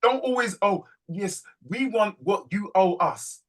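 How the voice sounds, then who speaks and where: A man hosting a reading group on a video call, urging and animated, repeating phrases as he presses his points. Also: high-pitched, slow pace.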